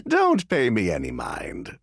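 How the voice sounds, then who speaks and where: An actor playing a suave, offhand, slyly teasing gentleman in a video game. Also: high voice, wildly swooping pitch, slow pace, exaggerated voice. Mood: breezy, amused. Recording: background music under the voice.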